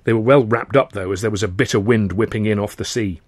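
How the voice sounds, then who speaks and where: A man's voice: on the low side, quick pace, dry recording. A man narrating a novel as a dramatised audiobook, with wry, wordy expression. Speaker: author reading his own novel.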